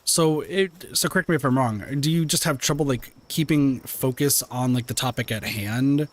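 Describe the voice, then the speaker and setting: A man's voice: medium pitch, normal speed. A man with a soothing voice, casually chatting with viewers while streaming a game and rambling with lots of fillers and restarts, now and then swearing mildly. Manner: mild swearing.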